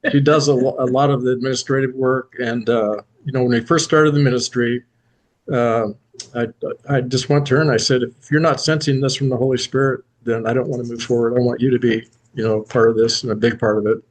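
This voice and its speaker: Man, sincere, warm praise, mid pitch, video call. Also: fairly even intonation, brisk, low-quality recording. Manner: sincere and warm about his wife. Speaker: ministry leader.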